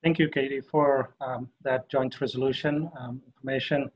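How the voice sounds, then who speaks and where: A man's voice: mid-range voice, little pitch movement, normal speed, low-quality recording. A man from Somalia chairing a meeting on a video call, hesitant and full of fillers.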